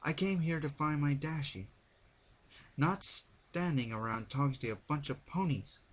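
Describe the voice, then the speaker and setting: Stubborn human hero, acted by a male reader, impatient and blunt, unhurried storybook delivery. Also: on the high side, fairly bright tone, voice pushed up. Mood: annoyed. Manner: blunt, argumentative.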